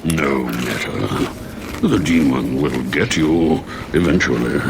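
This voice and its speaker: An actor voicing a huge, lazy, menacing demon beast in a video game, with a languid, condescending drawl. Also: male voice, very deep voice, takes it slowly, creaky, background noise, full character voice. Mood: bored, dismissive.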